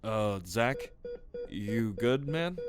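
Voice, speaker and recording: A man's voice: lowish voice, expressive, drawn-out words, music in the background. A man playing an easygoing, polite young brother in an audio roleplay, casual and courteous. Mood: surprised, delighted.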